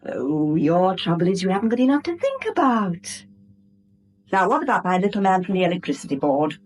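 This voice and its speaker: An actress playing a chatty, affectionate, pushy English wife in a 1970s radio drama. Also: female voice, lowish voice, very animated, fairly quick, a little mellow.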